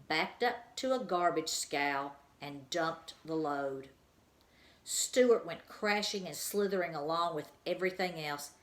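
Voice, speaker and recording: Low-voiced woman reading a storybook. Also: roomy sound. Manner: expressive, storytelling. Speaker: teacher reading aloud. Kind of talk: children's book read-aloud.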